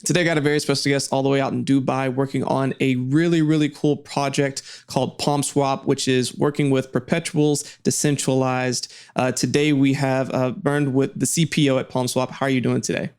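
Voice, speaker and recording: A man hosting an interview, with a gravelly yet smooth, resonant voice. He sounds upbeat and asks his questions casually, with a few fillers along the way. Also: mid-range voice, level tone, fairly quick, few pauses, darkish tone. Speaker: podcast host.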